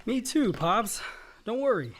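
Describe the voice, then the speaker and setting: An actor playing a frank, hot-headed young younger brother in a naturalistic radio drama, casual and profane. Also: male voice, sits high, very animated, a little slow, fairly bright tone, slight background noise, full character voice. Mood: calming, contrite.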